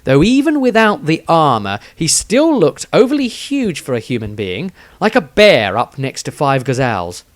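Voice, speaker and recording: A man reading his own fantasy story as scripted narration, with dramatic delivery and deliberate pauses. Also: a little high, sing-song, dry recording.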